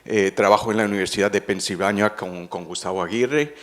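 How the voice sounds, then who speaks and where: Low-voiced lecturer, slightly French-tinged Spanish. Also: male voice, brisk. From Colombian father, French mother. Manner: hesitant, many fillers and restarts. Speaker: veterinary ophthalmologist speaker. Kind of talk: conference lecture.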